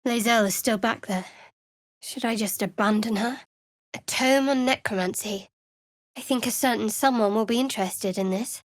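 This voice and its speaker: A guarded young priestess of a dark goddess, played by a woman for a fantasy video game. Her voice sits fairly high and is recorded close and dry. She speaks in clipped, measured phrases with wry, sardonic restraint. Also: no room sound, natural voice. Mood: musing. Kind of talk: video game voice acting, companion dialogue and battle barks.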